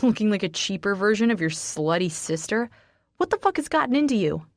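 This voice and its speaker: A woman reading an audiobook, voicing a hot-tempered young man who shouts and swears harshly. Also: medium pitch, sing-song, a little fast, no room sound, clean studio sound, voice pushed up. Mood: scornful. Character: angry, volatile young man.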